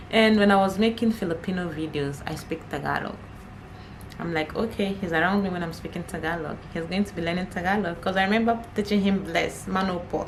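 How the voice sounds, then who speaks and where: Young nigerian woman vlogging, kinda low voice, chatty n laughy, faint hiss behind. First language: Igbo. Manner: chatty, laughs often, repeats herself. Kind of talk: casual chat to camera.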